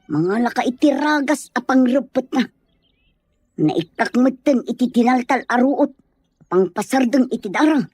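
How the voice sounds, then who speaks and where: Woman acting a mellow, caring country sister. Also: on the high side, lively intonation, unhurried, slightly rough, dry recording, clean studio sound. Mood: concerned. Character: caring, practical rural elder sister nursing a stranger. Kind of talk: audio drama acting. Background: faint music.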